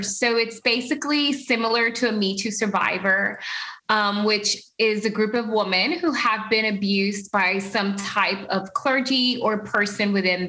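A woman from Puerto Rico telling her personal story as an interview guest, earnest and animated, laughing now and then. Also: medium pitch, rough recording.

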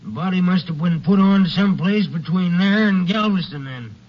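High-voiced bossy railroad detective played by a man. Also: moderate pace, lightly acted. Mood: grim, businesslike. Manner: blunt, commanding, folksy slangy talk. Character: gruff, dutiful railroad detective, suspicious and bossy. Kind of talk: 1950s western radio drama acting. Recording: dull, noisy old radio recording.

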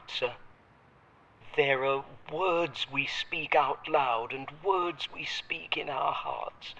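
A man's voice: mid-range voice, creaky, pushed and bright, heavily acted, rough recording. An actor playing a devoted, fatherly old butler in an audio drama, formally polite and sentimental, heard through a radio filter. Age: older. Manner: formal, measured, deferential yet tender.

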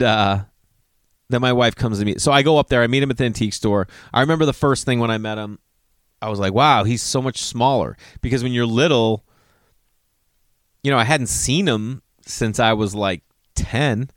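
A man's voice: mid-range voice, no echo, clean studio sound. A man in his forties telling a rambling personal story, often losing his thread and correcting himself. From Massachusetts. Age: 40s. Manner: digressive, self-correcting, swears casually.

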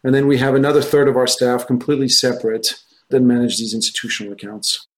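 A man's voice: medium pitch, little pitch movement, fast talker. A middle-aged man from France, a native French speaker, giving discursive, anecdotal answers as an interview guest. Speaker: guest, investment research executive.

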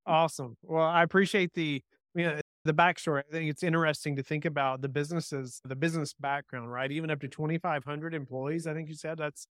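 Guy hosting a podcast, smooth clear voice, bit higher pitched, upbeat, lots of "right?". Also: fairly even intonation, a little fast, a bit bright, dry recording. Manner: upbeat, stacks questions, says "right?" a lot. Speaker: podcast host. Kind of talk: podcast interview.

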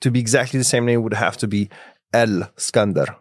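Guest, male, low-ish voice, careful and deliberate, dry close sound. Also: no room sound. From Switzerland, France and Belgium. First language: French. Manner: measured and careful, pausing often with fillers. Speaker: guest, conservatory founder. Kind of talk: explanatory interview talk.

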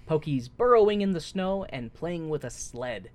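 A man's voice: on the high side, lively intonation, normal speed. Joking man with a light, boyish voice. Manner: joking, swears freely, reads the story aloud with mocking asides. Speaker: podcast co-host. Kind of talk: casual comedic recap chat.

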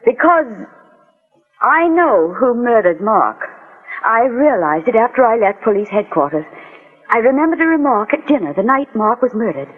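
On a narrow, vintage radio recording, an assertive society woman. Her husky voice swings widely in pitch, formal, dramatic and sure of itself. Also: big pitch swings, normal speed. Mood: accusing. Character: haughty, assertive society woman, accusing and self-assured. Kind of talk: 1940s radio acting. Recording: band-limited old 1940s radio recording.

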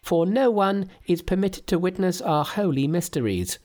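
A man's voice: sits high, animated, moderate pace, a little mellow, pitched above the performer's own voice. A man voicing a cocky male monkey king who pretends to be a god, speaking in a grand, mock-solemn way. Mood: sly.

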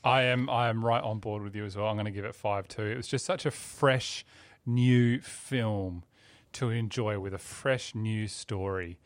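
A man's voice: lowish voice, measured pace, no echo. A man hosting a film review discussion in a casual, conversational style. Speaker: film review podcast host. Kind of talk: casual chat.

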